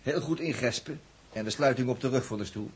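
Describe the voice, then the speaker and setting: An actor with a Netherlands Dutch accent playing a plain-spoken, hesitant spaceship crewman in 1960s radio drama, colloquial and halting. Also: medium pitch, husky. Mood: weary, dry. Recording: noisy old radio recording.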